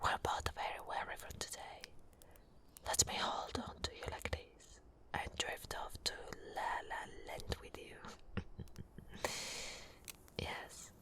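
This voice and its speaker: A woman playing a big, tough but tender orc wife in an intimate, soft ASMR roleplay. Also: lightly acted. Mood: sleepy whispering. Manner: soft, slow, intimate, often breathy with soft chuckles.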